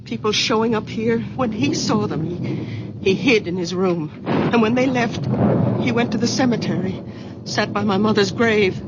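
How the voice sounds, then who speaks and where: An actress playing a worried, protective adult daughter in a radio drama. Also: female voice, mid-range voice, normal speed, lightly acted. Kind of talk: 1970s radio drama acting. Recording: dull old radio, loud storm noise.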